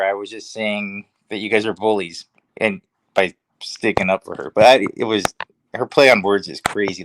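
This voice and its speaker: A man's voice: on the low side, no room sound, poor audio. A man on a livestream panel giving his opinion in casual conversation. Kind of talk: casual discussion.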